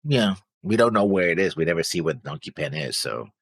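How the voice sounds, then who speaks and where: A man's voice: sits low, sing-song, a little fast, creaky, breathy edge, no echo. A man co-hosting a reaction show, thinking aloud in casual talk and often trailing off. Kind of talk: casual discussion.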